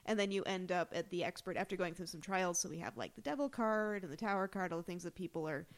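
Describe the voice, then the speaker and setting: A woman's voice: fairly low pitch, fast. A woman co-hosting a casual chat about a TV episode, joking and bawdy, wandering off into tangents. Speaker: podcast co-host.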